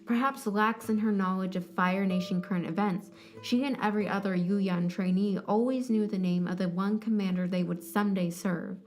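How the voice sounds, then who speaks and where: A woman's voice: medium pitch, fairly even intonation, normal speed, a little mellow, soft background music. A woman reading scripted story narration as the narrator of an audio drama.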